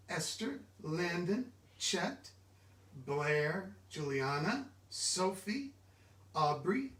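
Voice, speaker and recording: Echoey, high-pitched man reading to kids. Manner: expressive, interactive, asks listeners questions. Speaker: children's book reader. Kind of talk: animated children's storybook read-aloud. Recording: echoey room, distant mic.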